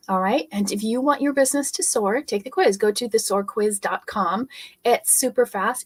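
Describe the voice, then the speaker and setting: A woman from Europe whose native language is German, giving an energetic, persuasive motivational business talk and often checking in with "okay?". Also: fairly high pitch. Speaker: business coach.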